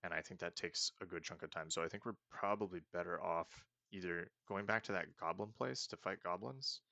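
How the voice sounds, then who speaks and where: A man casually commenting on a game he is playing, planning his next moves aloud and often trailing off into soft mutters. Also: low-pitched, fairly quick, creaky, dry recording, highs cut off. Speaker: let's play host.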